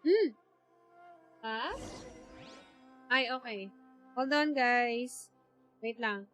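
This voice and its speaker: A woman's voice: on the high side, very slow, fairly bright tone, dull audio, soft background music. A woman with a Filipino accent giving casual, chatty livestream game commentary, thinking aloud as she plays. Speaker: game streamer. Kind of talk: casual livestream gameplay commentary in mixed English and Tagalog.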